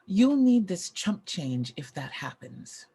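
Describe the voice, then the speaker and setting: Gravelly, sardonic woman former child star, acted. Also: fairly low pitch, very animated, slow, dark tone, exaggerated voice. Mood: cool menace. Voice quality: gravelly, husky.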